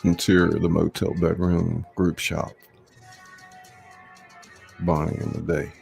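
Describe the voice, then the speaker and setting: Deep, gravelly, slow male narrator. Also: completely flat delivery, darkish tone. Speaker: narrator, reader. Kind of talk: scripted narration of screenplay stage directions. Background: faint music.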